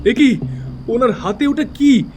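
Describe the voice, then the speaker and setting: A man's voice: very high-pitched, animated, slow pace, exaggerated voice. A man playing an alarmed young character in an audio thriller, urgent and pleading. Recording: music behind the voice.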